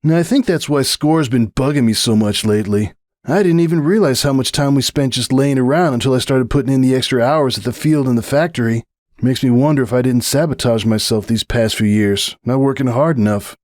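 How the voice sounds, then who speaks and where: A man's voice: medium pitch, fairly quick, darkish tone, no room sound, studio quality, lightly acted. A man voicing a young sporty male pony, cocky but self-doubting, grumbling and sardonic, in a dramatic storybook reading. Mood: uncertain, glum.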